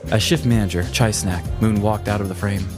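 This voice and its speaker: A man's voice: on the low side, fairly even intonation, fairly quick, over loud music. A man narrating a scripted comedic story in a dramatic storytelling manner. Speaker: narrator and podcast host.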